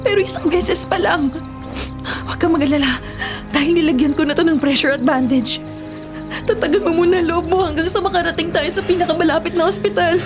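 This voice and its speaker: Very high-pitched, desperate young daughter. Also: female voice, brisk, music in the background. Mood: urgent, reassuring. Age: young adult. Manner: urgent, pleading, emotional. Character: desperate, loyal young daughter rescuing her boyfriend. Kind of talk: Filipino radio drama acting.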